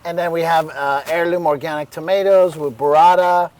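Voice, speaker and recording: A man from Iran, a guest chef, calmly talking through dishes outdoors, step by step with a few fillers. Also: fairly high pitch, moderate pace, crowd noise, poor audio. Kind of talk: casual cooking chat. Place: outdoors at a villa table.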